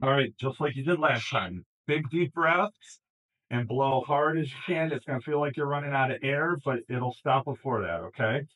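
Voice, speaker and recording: A male police officer in a station booking room, calmly coaching someone through a breath test and reassuring them, picked up by a ceiling security camera. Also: medium pitch, normal speed, slightly rough, poor audio. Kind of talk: procedural instructions.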